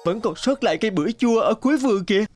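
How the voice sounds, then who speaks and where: A man playing an honest, sorrowful young peasant son in an animated folk tale, speaking plaintively and humbly. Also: high-pitched, very fast, music in the background. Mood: anguished.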